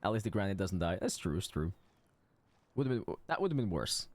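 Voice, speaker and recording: A man's voice: deep voice, sing-song, moderate pace. A man giving casual live commentary on a video game as a streamer, informal and sympathetic, musing aloud.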